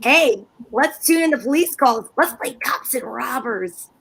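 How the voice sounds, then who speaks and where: A woman plays a scheming wife from an old-time radio comedy. Her voice is very high, and she talks in theatrical exclamations. She is bossy and wheedling, always bluffing with confidence. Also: animated, measured pace, vocal fry, background noise, heavily acted. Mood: playful impatient.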